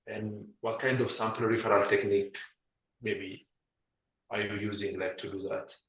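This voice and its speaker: Man, lowish voice, halting and filler-heavy; muffled call mic in a roomy space. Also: level tone, a bit bright, slight background noise. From Ethiopia. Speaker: webinar participant asking questions. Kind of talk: questions on a video call. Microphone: video call, faint and muffled.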